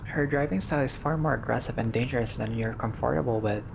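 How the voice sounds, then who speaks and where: A man doing a casual game playthrough, reading dialogue aloud and reacting with expressive, slangy asides. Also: mid-range voice, quick pace, vocal fry, noisy background. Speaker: gaming video player reading dialogue aloud.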